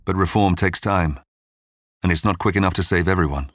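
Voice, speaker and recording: A man narrating a scripted true-crime history documentary in a measured, dramatic storytelling manner. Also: deep voice, a bit bright, a little hiss or hum.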